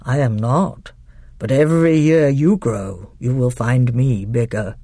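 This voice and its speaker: Lady doing a big wise gentle lion, low and hushed, slow, dry close sound. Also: no room sound. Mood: grave gentle.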